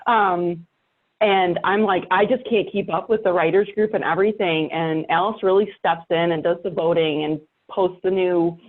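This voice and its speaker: A woman's voice: on the low side, steady tone, moderate pace. A woman podcaster chatting casually and emphatically, repeating herself to drive points home. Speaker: podcast host and writer. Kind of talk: casual chat. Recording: thin, phone-like call audio.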